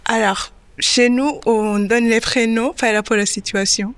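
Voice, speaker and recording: A woman in her twenties from Rwanda, answering interview questions in simple, halting French that is not her first language. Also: on the high side, fairly even intonation, clear, resonant tone, dry recording. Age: 20s. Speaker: interview guest, web development apprentice.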